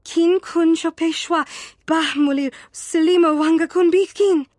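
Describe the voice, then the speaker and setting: An actress playing a guarded, defiant former apprentice in a video game, speaking an invented alien language in clipped phrases. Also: female voice, very high-pitched, fairly even intonation, a little slow, darkish tone, no echo, clean studio sound. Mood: agitated.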